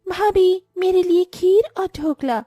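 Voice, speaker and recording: Woman voicing a very high, chirpy young sister-in-law. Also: a little slow, mellow, no room sound, studio quality, faint music behind. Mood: pleased.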